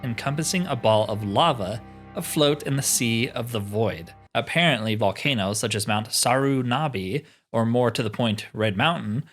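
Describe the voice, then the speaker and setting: A man's voice: mid-range voice, moderate pace, breathy edge, faint music behind, natural voice. A man voicing a formal, earnest, devout cult priest who hands out quests in a fantasy game.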